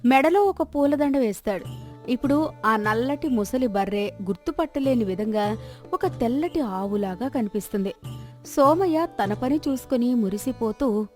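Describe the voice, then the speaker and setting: A woman's voice: on the high side, expressive, normal speed, darkish tone, soft background music. A woman narrating a scripted moral folk tale as a storyteller.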